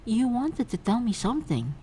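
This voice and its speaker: Woman voicing a calm, teasing young woman. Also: a little high, moderate pace, slight background noise. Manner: gentle, teasing, composed.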